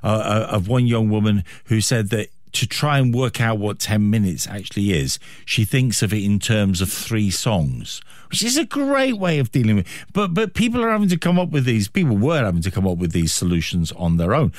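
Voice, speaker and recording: A man's voice: on the low side, animated, moderate pace, dry recording, studio quality. A man with a British accent hosting a radio interview, phrasing his questions with emphasis.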